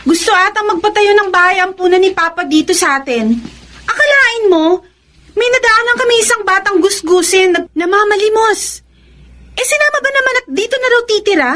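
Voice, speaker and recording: A woman's voice: very high voice, expressive, a little fast, pushed and bright, full character voice. A woman playing a spoiled, suspicious daughter in a radio drama, whiny and scornful.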